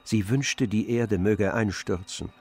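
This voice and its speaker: A man narrating a literary radio drama in a measured, grave manner. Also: lowish voice, a little mellow, breathy edge, faint music behind.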